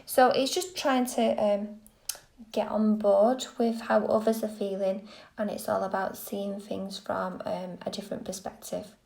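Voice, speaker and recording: A woman reading tarot on a live stream, gentle and soothing, a little croaky from a cold. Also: medium pitch, flat delivery, a little slow, poor audio. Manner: gentle, soothing, lots of okays. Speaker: tarot reader.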